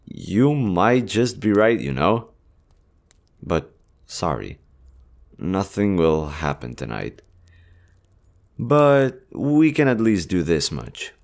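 A man voicing a gentle, smitten army general in a romantic roleplay audio, tender, earnest and a little hesitant. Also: low-pitched, very animated, slow pace, gravelly, long pauses, full character voice. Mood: tender, playful. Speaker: voice actor.

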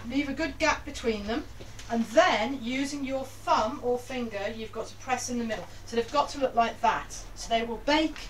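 A woman's voice: on the high side, normal speed. An older British woman casually giving children step-by-step instructions in a directive way, often checking that they follow. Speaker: grandmother teaching children to bake. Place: small domestic kitchen. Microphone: handheld camera a few feet away. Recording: distant camera mic, some background noise.

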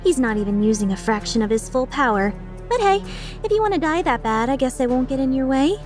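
Woman playing a cocky, teasing high-voiced mercenary woman. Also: sing-song, a little fast, darkish tone, faint music behind. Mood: dismissive, amused. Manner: playful, sarcastic, taunting. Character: cocky, teasing, menacing female mercenary leader. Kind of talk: video game fan dub acting.

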